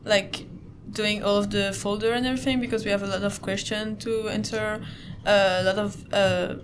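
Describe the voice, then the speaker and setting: A woman's voice: mid-range voice, little pitch movement, moderate pace, noisy background. Chatty teen girl from Belgium. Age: teens. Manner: chatty, says "like" a lot. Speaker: exchange student guest.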